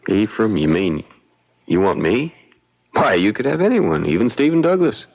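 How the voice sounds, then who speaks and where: An actor playing a humble, earnest young country lawyer with a gravelly voice in an old-time radio drama. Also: male voice, lowish voice, big pitch swings, normal speed, heavily acted. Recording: dull radio recording.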